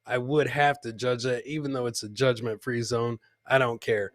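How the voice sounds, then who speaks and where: A man's voice: mid-range voice, steady tone, moderate pace, dry recording, clean studio sound. A man hosting a live comedy clip show, joking and teasing as he comments on videos and repeats his punchlines.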